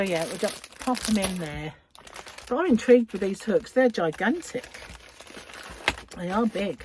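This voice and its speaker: Unhurried, gushing woman, noisy crinkly recording. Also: mid-range voice. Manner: gushing, admiring, often breathy asides. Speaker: craft vlogger and yarn shop owner. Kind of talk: casual unboxing chat to followers. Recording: clear background noise with crinkling wrappers. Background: crinkling cellophane, clicks and rustling.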